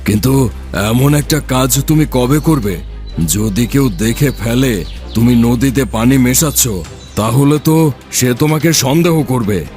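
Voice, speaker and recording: Man voicing a deferential sorcerer's apprentice, medium-pitched. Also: measured pace, a little mellow, faint music behind, lightly acted. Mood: curious, awed. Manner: deferential, inquisitive. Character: eager, obedient male apprentice of evil sorcerer. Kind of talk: Bengali fairy-tale cartoon dubbing.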